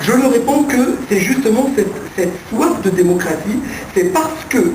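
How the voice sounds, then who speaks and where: A man's voice: sits high, lively intonation. Man lecturing with rhetorical questions. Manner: measured, rhetorical questions, repeated fillers. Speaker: academic panelist. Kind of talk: explanatory lecture-like discussion. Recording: echoey room, distant mic, background noise.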